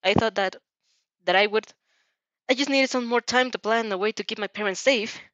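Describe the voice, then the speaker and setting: A woman's voice: fairly high pitch, normal speed, a bit bright, no room sound, highs cut off, natural voice. A woman voicing an anxious teenage girl hero student in an anime fan story, hesitant and faltering. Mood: anxious, confessing.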